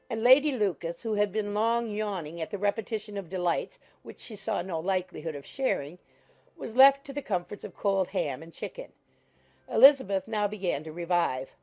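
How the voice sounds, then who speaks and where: A woman narrating an audiobook in a measured, formal storytelling manner. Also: mid-range voice, warm tone. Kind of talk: scripted literary narration.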